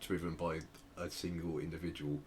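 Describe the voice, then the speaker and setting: A man's voice: very deep voice, little pitch movement, normal speed, a little hiss or hum. A man co-hosting a podcast, chatting casually and explaining things hesitantly, often circling back to rephrase. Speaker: podcast co-host. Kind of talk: casual podcast chat, explaining a musician's story.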